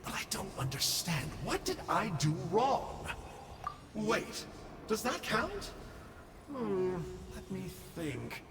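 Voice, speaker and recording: A man voicing a glib young man from a shadowy order who talks in a smooth, teasing, nonchalant way. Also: high voice, huge pitch swings, takes it slowly, big-room sound, background noise, heavily acted. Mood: sly. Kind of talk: video game voice acting.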